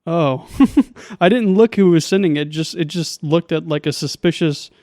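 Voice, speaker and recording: A man's voice: a little high, moderate pace, darkish tone, no room sound, studio quality. A man chatting casually as a podcast co-host, with a wry, informal and lightly sarcastic way of talking. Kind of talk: casual chat.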